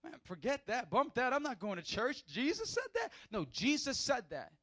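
A man preaching a sermon as pastor in a church, animated, acting out short skits in other voices. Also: very high voice, normal speed, dry recording.

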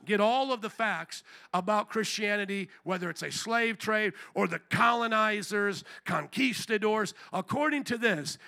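A man preaching a sermon to his congregation, calling out to them and rising to loud, shouted emphasis. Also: high-pitched. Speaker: pastor. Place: church service.

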